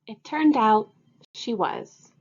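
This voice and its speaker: A woman's voice: a little high, big pitch swings, takes it slowly, darkish tone, husky. A woman who teaches first grade, reading a picture book aloud expressively for children. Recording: dull lo-fi audio.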